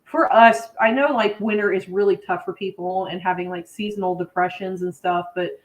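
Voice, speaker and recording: A woman's voice: mid-range voice, steady tone, moderate pace, poor audio. An American woman chatting casually and enthusiastically as a livestream co-host, with a giggly, talkative manner. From Indiana, United States.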